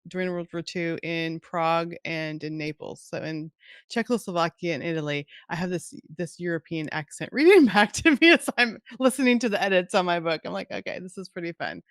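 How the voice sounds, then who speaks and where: A woman's voice: on the low side, a little fast, no room sound, studio quality. A middle-aged woman hosting a video-call interview, chatty and gushing about books. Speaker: host. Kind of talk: casual interview chat.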